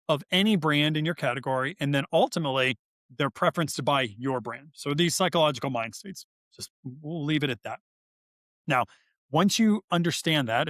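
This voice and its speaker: A man's voice: a little high, a bit bright, no room sound, clean studio sound. A man speaking as a guest expert on a podcast, explaining things conversationally in an emphatic, informal way and repeating words for stress. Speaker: guest expert, author and behavioral scientist.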